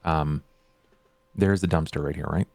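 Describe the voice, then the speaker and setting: A man playing a tabletop game, asking casual, halting questions of the game master. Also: rumbling low, fairly even intonation, darkish tone, dry recording.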